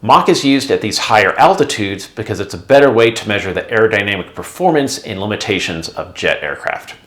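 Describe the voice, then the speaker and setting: A man's voice: fairly low pitch, animated, a little fast, a bit bright. A man narrating a scripted explainer in a didactic, conversational way. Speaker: presenter, former air traffic controller.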